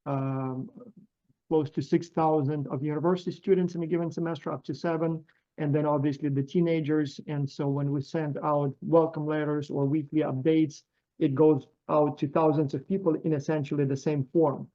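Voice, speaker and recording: A man's voice: on the high side, level tone, moderate pace, dark tone. A middle-aged man with a Ukrainian accent, a professor and program founder, talking loosely on a video call with many fillers and restarts. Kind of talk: casual online meeting talk. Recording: dull lo-fi video call audio.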